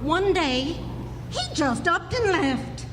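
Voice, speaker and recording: A woman's voice: very high-pitched, very animated, slow pace, heavily acted. A woman playing a blunt, folksy, fearless frontier aunt in a live Western radio drama. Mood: wry. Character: salty, sharp-tongued, fearless frontier aunt. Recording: reverberant, noisy live stage radio play.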